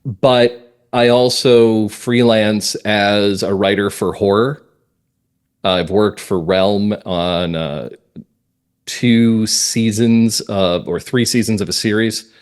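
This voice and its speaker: Low-pitched American man, reflective and emphatic. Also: fairly even intonation, slow, clear, resonant tone. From northern Massachusetts, US. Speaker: guest playwright and comedy writer. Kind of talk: conversational podcast interview.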